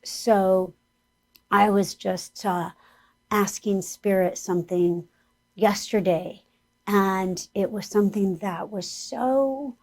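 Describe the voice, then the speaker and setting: A woman spiritual teacher speaks at an unhurried, deliberate pace, her voice a little hoarse. She guides an earnest, soothing meditation exercise, with a pitch that sits in the middle of the usual range for a woman. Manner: slow, gentle and soothing guidance.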